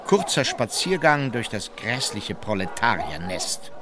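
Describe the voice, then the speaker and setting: An actor playing a terse diarist, reading travel log entries from a script in a radio play. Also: male voice, on the low side, sing-song, a little slow. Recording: crowd chatter behind.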